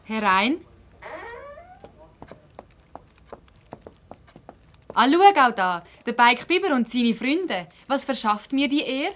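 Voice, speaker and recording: A fairly high-pitched lady mayor of a village in a children's radio play, acted by a woman. She sounds official, politely unhelpful and a little condescending, with a Swiss accent. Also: expressive, unhurried, a bit bright. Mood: curious, formal. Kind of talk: children's audio drama.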